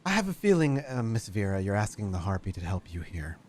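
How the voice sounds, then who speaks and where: A man voicing a suave, condescending male vampire socialite in his forties, who speaks smoothly and without hurry. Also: on the low side, sing-song, brisk, a little mellow, slight background noise. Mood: courtly.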